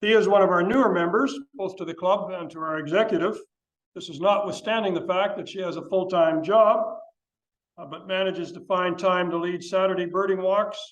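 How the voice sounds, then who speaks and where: A man hosting a club meeting, reading a measured, formal introduction of the next speaker. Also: sits high, fairly even intonation, highs cut off. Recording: echoey meeting room.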